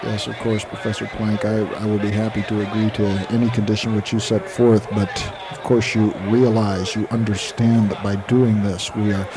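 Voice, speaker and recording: An actor playing an earnest, skeptical literature professor in a 1980s audio drama. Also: male voice, on the low side, level tone, normal speed, few pauses, crowd noise, natural voice. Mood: polite, eager. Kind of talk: 1980s audio drama dialogue with inner monologue. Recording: noisy old tape with background hiss.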